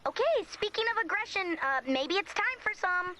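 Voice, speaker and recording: An actress playing a sardonic, bossy young teammate in a video game, with a dry, snarky delivery. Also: female voice, very high voice, expressive, normal speed, heavy background noise. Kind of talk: video game character voice acting.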